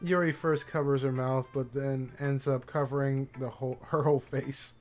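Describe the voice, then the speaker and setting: A man reads a visual novel's narration aloud at a casual pace, breaking in with amused reactions. His voice sits at a middle pitch. Faint game music plays in the background. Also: fairly even intonation, moderate pace. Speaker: streamer.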